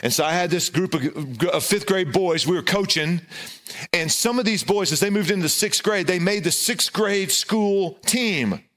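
A middle-aged man preaches with a loud voice, moving from serious points into a humorous story at his own expense. His speaking voice sits a little higher than most men's and swings up and down as he tells it. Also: moderate pace. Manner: self-deprecating, humorous storytelling with big pitch swings. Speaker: pastor. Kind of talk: sermon with personal story.

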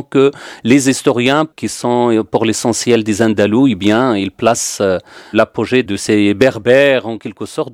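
A man's voice: medium pitch, no echo. Moroccan-born guy talking history, lots of euhs, dry close sound. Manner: hesitant, many fillers and restarts. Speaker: history guest. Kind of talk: casual discussion.

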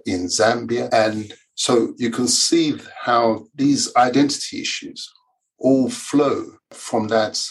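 Podcast guest: husky Nigerian-born male historian, lower voice, explains at length. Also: poor audio. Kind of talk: conversational explanation.

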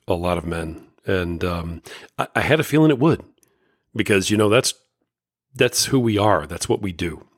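Deep, slightly raspy man. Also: no room sound, studio quality. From Indiana, USA. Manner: folksy, earnest, many fillers and restarts. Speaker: podcast host and life coach. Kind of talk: casual solo podcast talk.

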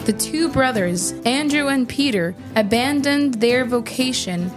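A woman's voice: fairly high pitch, expressive, unhurried, music in the background. A woman narrating a scripted religious story in a storytelling style.

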